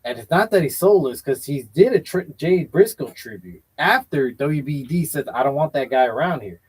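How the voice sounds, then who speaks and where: A man's voice: on the high side, lively intonation, moderate pace, breathy edge. A man hosting a casual wrestling chat show, giving blunt, opinionated takes and often hedging with "I feel like". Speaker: podcast host.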